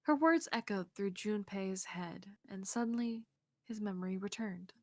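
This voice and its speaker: A woman reading visual novel narration aloud on a game stream in an expressive way, close to the mic. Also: medium pitch, normal speed, dry recording. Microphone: close streaming mic, dry sound.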